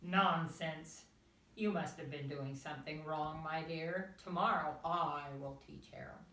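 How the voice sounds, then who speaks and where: A woman voicing a confident, blustering father duck in a children's story, emphatic and loud. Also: sits low, a little slow. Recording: roomy, distant, faint background noise.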